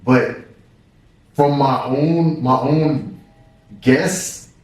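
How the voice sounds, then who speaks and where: A young American man, a basketball player, telling stories in a relaxed and reflective way. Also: mid-range voice, very slow, distant mic. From United States. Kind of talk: casual interview conversation. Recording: echoey room, mic set back.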